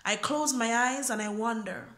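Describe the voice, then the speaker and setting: A woman's voice: a little high, moderate pace, a bit bright, echoey room. A young woman from Jamaica performing a freestyle spoken word poem into a phone camera, earnest and searching, full of questions. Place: small indoor room. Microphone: phone camera close up.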